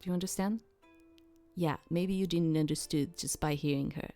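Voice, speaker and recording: A woman doing a casual game playthrough commentary, halting and repetitive, often checking that the listener follows. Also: low-pitched, mellow, soft background music. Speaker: gamer presenting a game playthrough. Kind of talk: reading game text aloud with casual commentary.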